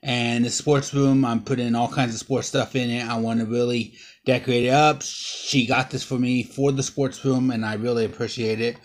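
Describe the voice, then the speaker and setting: A man's voice: mid-range voice, flat delivery, normal speed. A man in his thirties talks straight into a close camera in his living room. He is bruised and sore, and he rambles through a casual show-and-tell haul in an everyday middle-range voice, with lots of ums and uhs. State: bruised and sore after a fall. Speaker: vlogger. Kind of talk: casual haul and unboxing vlog. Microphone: camera close up at low angle.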